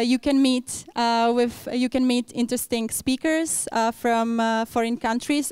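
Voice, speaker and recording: Young woman hosting a panel in english, lots of uhs, very clear slightly high voice, dry sound. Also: darkish tone, no echo. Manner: frequent fillers and restarts, non-native English. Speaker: event organizer and moderator. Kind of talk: hesitant public speaking at a panel event.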